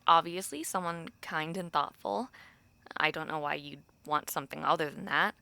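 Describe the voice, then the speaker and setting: A woman's voice: sits low, moderate pace, creaky, bright, forceful tone, smooth, no echo, exaggerated voice. A young woman playing a thoughtful, romantic university student who confides hesitantly in a friend, in an intimate, conversational audio roleplay. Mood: musing, casual.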